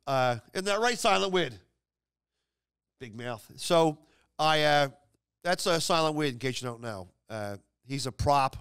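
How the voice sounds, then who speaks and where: Comedian host, male, slightly high clear tone, loose anecdotal delivery with halting repeats. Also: fairly bright tone. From Philadelphia, United States. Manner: rambling, halting, repeats words for emphasis. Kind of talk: comedic anecdote storytelling, casual.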